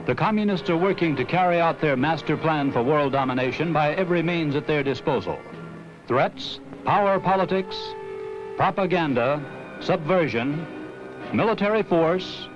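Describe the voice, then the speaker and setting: An American man reading a scripted 1960s promotional film narration in a grave, emphatic, measured announcer's delivery. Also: a little high. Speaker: documentary narrator. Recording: dull vintage film soundtrack with music.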